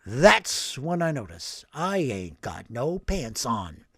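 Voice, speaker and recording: A man doing a brash, excitable old working-class guy. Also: mid-range voice, slow pace, slightly rough, no echo, studio quality, pitched below the performer's own voice, exaggerated voice. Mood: excited. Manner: animated storytelling, ungrammatical slang.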